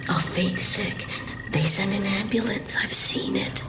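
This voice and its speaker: An actress playing a defiant young woman captive in an audio drama, blunt and conspiratorial, speaking in a hush in an echoey room. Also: mid-range voice, a little slow, whispered, lightly acted. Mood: desperate, reckless.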